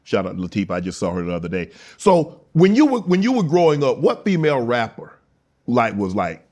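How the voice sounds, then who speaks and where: A man's voice: mid-range voice, huge pitch swings, a little fast, a little mellow. A middle-aged man hosting an interview, asking prodding questions and laughing big. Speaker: talk show host.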